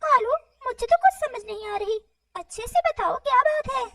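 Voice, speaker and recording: A woman's voice: very high voice, lively intonation, moderate pace, a bit bright, distant mic, voice pushed up, full character voice. A woman voicing a baffled, trusting male parrot in a children's cartoon, talking in a puzzled, questioning way. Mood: puzzled, alarmed. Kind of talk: animated children's moral story dialogue.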